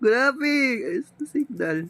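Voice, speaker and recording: A man's voice: very high-pitched, expressive, slow pace, fairly bright tone. A Filipino man vlogger chatting casually in a playful, rambling way full of vague filler words. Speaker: vlogger making a prank call. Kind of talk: casual chat, prank phone call.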